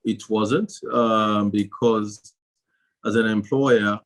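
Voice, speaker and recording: A man speaks as a podcast guest with a fairly low voice. He answers in a measured, unhurried way and pauses on hesitant fillers as he thinks. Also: fairly even intonation.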